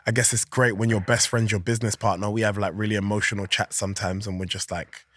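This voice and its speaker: Guest: man, animated, loose and chatty, close dry mic. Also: lowish voice, quick pace, no echo. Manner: animated, chatty, laughs often, many fillers. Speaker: podcast guest, organisation founder. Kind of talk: casual interview chat.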